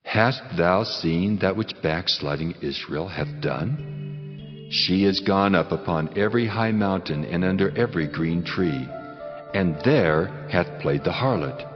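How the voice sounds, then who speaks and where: A man playing God as a grave, solemn and commanding figure in a dramatized scripture reading, speaking deliberately. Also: deep voice, highs cut off. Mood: grave, reproachful. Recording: long cavernous reverb, band-limited, music behind. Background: music.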